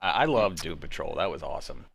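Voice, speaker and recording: A man's voice: extremely low pitch, expressive, fast talker, gravelly, fairly bright tone. A young adult man chatting casually and knowledgeably among friends. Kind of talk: casual informed fan chat.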